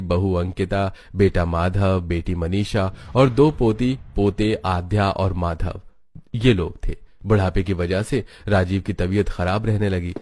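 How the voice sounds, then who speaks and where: A man with a fairly low voice tells a children's story. He reads it as a scripted narration for a cartoon. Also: a little mellow.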